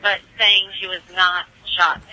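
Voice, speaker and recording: A man's voice: high-pitched, little pitch movement, unhurried, pushed and bright, slight background noise, poor audio. A man relaying terse dispatch messages over a police scanner radio. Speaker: emergency dispatcher.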